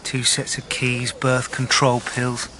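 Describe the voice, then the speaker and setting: A man playing a methodical, level-headed police detective in a naturalistic radio drama, brisk and matter-of-fact. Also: medium pitch, monotone, bright, forceful tone, a little hiss or hum, poor audio. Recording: radio drama with faint background ambience.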